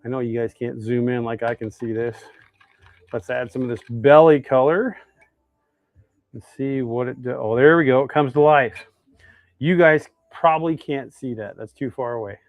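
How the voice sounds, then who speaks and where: A man's voice: medium pitch, fairly even intonation, moderate pace, no room sound, phone-quality sound. An American man hosting a casual live demonstration, talking to viewers in a folksy, easygoing way and thinking aloud as he works. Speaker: host of a fishing lure making livestream.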